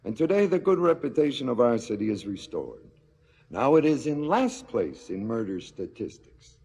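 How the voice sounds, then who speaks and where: A man's voice: medium pitch, sing-song, moderate pace, creaky, a little mellow, husky, heavily acted. An actor playing a calculating corrupt policeman in a stylised radio drama, declamatory and coolly menacing, with clipped retorts. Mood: coolly accusing. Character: smug, calculating corrupt policeman muscling in on racket.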